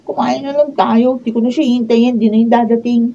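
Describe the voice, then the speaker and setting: A woman's voice: on the high side, fairly even intonation, fairly quick, over music, close to an everyday voice. A woman acting a bratty, childish young girlfriend in a Filipino radio drama, with a whiny, nagging manner and a Filipino accent. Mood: irritated. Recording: dull lo-fi room recording with clear noise.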